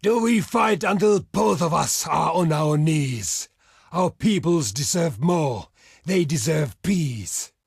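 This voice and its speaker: A proud barbarian envoy from a strategy game, with a rough, gravelly and husky voice. He declaims formally and slowly, weighing each phrase like a grave warrior. The sound is close and dry. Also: a little high, no room sound, heavily acted. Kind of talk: scripted video game diplomacy lines.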